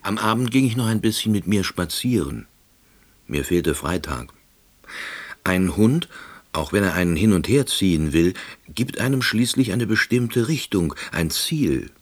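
A middle-aged, pedantic first-person narrator in a scripted radio drama. His voice is deep and husky, delivered with dry, deadpan officiousness. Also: a little slow. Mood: wry, pensive. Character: wry, pedantic, laid-off East Berlin man narrating.

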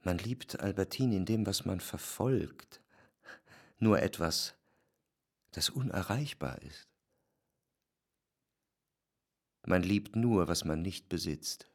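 An actor playing an introspective, doubting gentleman who narrates his love in a literary radio drama. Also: sits low, lively intonation, slow pace, breathy edge, no echo, studio quality, full character voice. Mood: brooding. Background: music.

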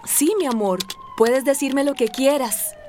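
High-voiced, headstrong working mother. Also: measured pace, over loud music. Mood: affectionate, cheerful. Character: headstrong, hardworking migrant mother, aggrieved and stubborn. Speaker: radio drama actress. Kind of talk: Spanish-language radio soap acting.

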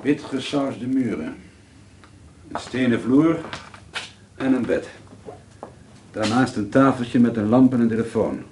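A man's voice: medium pitch, unhurried, long pauses, close to an everyday voice. An actor playing a troubled, bitter schoolteacher and painter in a radio drama, hesitant and confiding, repeating himself as he broods. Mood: reflective narrating. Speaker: lead actor and first-person narrator. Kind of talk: radio drama monologue and dialogue. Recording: noisy old radio play recording.

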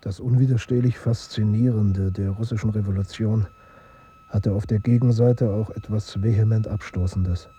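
An actor playing a grave essayist in a radio play, reading in a measured, formal way. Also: male voice, on the low side, steady tone, mellow, slight background noise, lightly acted. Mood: reflective.

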